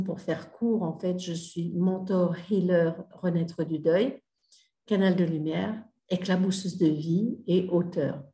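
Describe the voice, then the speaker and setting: A woman's voice: lowish voice, mellow, echoey room. A middle-aged woman mentor giving a casual spoken talk, hesitant, with many fillers and restarts. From Australia. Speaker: grief mentor and healer.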